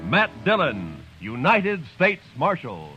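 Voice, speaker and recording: A man announcing a 1950s radio western in a measured, solemn, dramatic voice. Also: fairly high pitch, wildly swooping pitch. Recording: lo-fi old radio recording with music behind.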